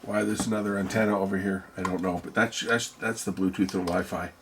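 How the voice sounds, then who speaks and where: A man with a lowish, gravelly voice talks casually through a piece of electronics, as if hosting a hands-on video. He muses aloud and puts questions to himself. There is faint noise behind him. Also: level tone, fairly quick, a bit bright. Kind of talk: casual teardown commentary.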